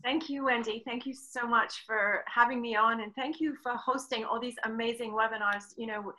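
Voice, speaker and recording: Bright middle-aged Englishwoman chatting. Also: on the high side, steady tone, normal speed, low-quality recording. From England. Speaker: webinar guest, riding clinician and horse trainer. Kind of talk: casual interview, telling own career story.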